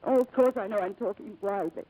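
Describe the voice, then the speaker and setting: Actress as mellow, wistful English wife. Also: female voice, medium pitch, brisk, lightly acted. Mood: strained, restrained. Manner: restrained, wistful. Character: gentle, strained English wife of a gentleman. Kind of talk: 1940s radio acting. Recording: slightly noisy old radio recording.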